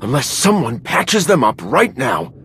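A man's voice: mid-range voice, big pitch swings, measured pace, fairly bright tone, a little hiss or hum. A man playing an indignant, loyal soldier in a video game, speaking with urgent earnestness. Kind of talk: video game dramatic acting.

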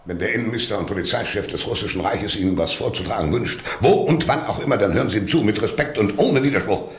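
An actor in a radio drama playing a suave, menacing older Russian minister, pompous and over-polite. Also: male voice, on the low side, lively intonation, quick pace, reverberant. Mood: sharp threat.

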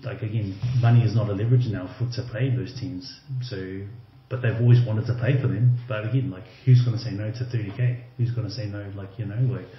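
A man's voice: fairly low pitch, steady tone, fairly quick, few pauses, a little hiss or hum. A man, a former rugby league player, talking casually as a podcast guest and leaning on "you know" and "like". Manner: loose and conversational, many fillers like "you know" and "like". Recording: roomy, slightly dull remote audio.

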